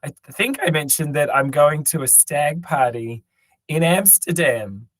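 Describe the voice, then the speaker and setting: A hoarse man co-hosts a casual podcast chat, his voice raspy and tired from overuse. He rambles and jokes, stumbling through restarts and fillers. The sound is close and dry, with no room around it. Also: a little high, measured pace, fairly bright tone, no echo. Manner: rambling, joking, lots of ums and restarts. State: hoarse, lost voice. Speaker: podcast co-host.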